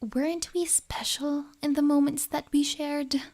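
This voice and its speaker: A woman playing a possessive, lovesick yandere in an ASMR roleplay, with soft, whispery, formally phrased speech and a wounded air. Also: high-pitched, level tone, measured pace. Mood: hurt pleading.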